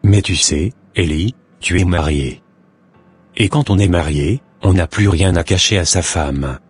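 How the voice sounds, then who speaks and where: A wise, moralizing neighbor who lectures earnestly on marriage. His voice is very deep and husky, with soft music in the background. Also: unhurried. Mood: counseling. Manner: earnest, preachy, counseling.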